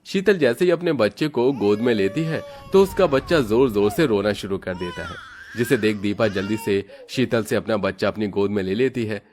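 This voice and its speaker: A man's voice: on the low side, normal speed, darkish tone. A man narrating a scripted moral story for children as its storyteller.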